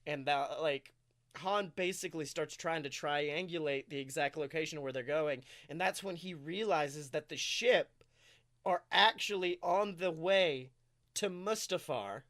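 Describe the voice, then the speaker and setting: A man with a fairly high, clear voice tells an improvised comic story as a podcast co-host. His delivery is casual and informal. He is openly amused by his own tale. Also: unhurried, a bit bright. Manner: informal, sweary, self-amused.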